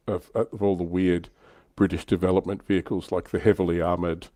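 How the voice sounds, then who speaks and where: A man's voice: low voice, level tone, a little fast, dark tone, dry recording. A man hosting a livestream, chatting informally through a casual hobby discussion. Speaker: livestream host.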